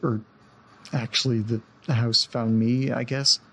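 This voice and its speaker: A jittery young guy in an audio drama, creaky husky voice, keeps stumbling and apologizing. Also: mid-range voice, little pitch movement, fairly bright tone. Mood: resigned, uneasy. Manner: hesitant, halting, apologetic, stammering. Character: rattled, apologetic young man recording an audio diary. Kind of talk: naturalistic audio drama acting.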